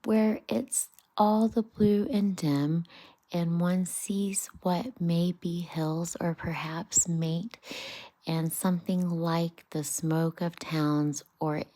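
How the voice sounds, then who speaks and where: A woman's voice: on the low side, steady tone, takes it slowly, mellow. A woman voicing a curious, timid and innocent male mole in a children's bedtime storybook reading. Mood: curious, wondering.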